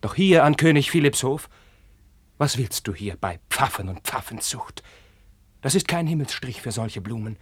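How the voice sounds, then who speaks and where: A troubled, bitter and ardent young prince in a vintage radio play. His mid-pitched voice is husky, with a dry studio sound. He speaks with intense, theatrical classical declamation. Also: expressive, moderate pace, dry recording. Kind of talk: 1950s German radio play, classical verse acting.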